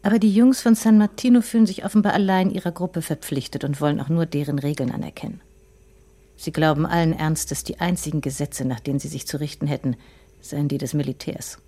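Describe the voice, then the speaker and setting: An actress playing an opinionated, scornful wife of a police inspector in a radio drama, in a dark, mellow voice. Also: female voice, low-pitched, steady tone, brisk. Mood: indignant. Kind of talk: naturalistic radio drama acting.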